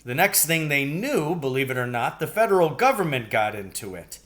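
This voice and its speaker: A man's voice: fairly high pitch, sing-song, normal speed, a bit bright, voice pushed up. Storybook farmer father, folksy and practical, plain-spoken played by a male reader. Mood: recounting news. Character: Mr. Fitzgibbon, practical matter-of-fact farmer father. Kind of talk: acted storybook dialogue.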